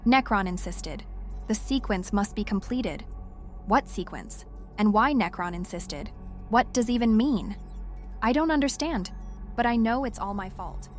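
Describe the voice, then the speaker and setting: An actress playing a shaken, guilt-ridden scientist who speaks haltingly in an audio drama. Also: female voice, mid-range voice, animated, soft background music, natural voice. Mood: distraught, guilty. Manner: distraught, halting.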